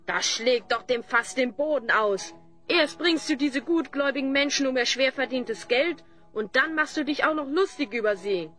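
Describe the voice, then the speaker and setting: A sensible, reproachful adventurer with a high voice. The delivery is acted fantasy radio play dialogue, with faint music behind it. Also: female voice, animated, normal speed, a bit bright. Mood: indignant. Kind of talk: German fantasy audio drama acting.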